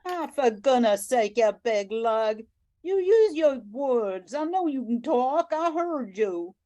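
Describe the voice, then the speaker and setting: A bossy old grandmother of the bayou acted by a woman in a radio play. Her voice is fairly high and soft-edged, and she takes her time. She scolds and coaxes in a folksy, kind-hearted way. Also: animated, takes it slowly, muffled recording. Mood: scolding. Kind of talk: amateur radio drama acting.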